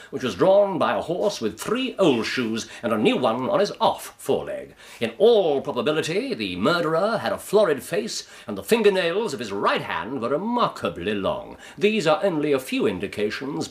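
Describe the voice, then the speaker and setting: A brilliant Victorian detective for radio drama. He is English, self-assured and dryly teasing, setting out his points precisely in a mid-pitched voice that moves with lively swings. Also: slightly rough. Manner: precise, fluent deductive explaining.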